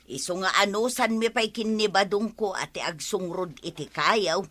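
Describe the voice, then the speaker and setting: A woman's voice: medium pitch, normal speed, fairly bright tone, no room sound, natural voice. A woman playing a thrifty, nagging but affectionate village wife in a comedic radio drama, scolding in colloquial exclamations. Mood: complaining.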